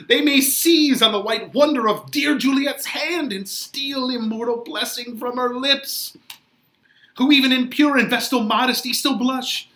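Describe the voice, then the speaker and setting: A man playing a young banished lover in a dramatic Shakespeare reading, melodramatic and anguished, with shouted outbursts. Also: very high voice, pitched above the performer's own voice, heavily acted. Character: young Romeo, banished lover, frantic and despairing.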